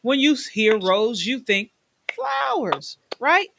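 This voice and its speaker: A woman's voice: a little high, huge pitch swings, takes it slowly, creaky, noisy background. A middle-aged woman hosting an interview in a chatty, enthusiastic way, stretching words for emphasis. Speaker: host. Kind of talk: casual interview chat.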